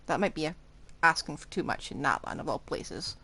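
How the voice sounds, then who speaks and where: A woman's voice: deep voice, lively intonation, brisk, sharp tone, slightly rough. A woman streaming a game with casual live reactions, playful and given to mock grumbling.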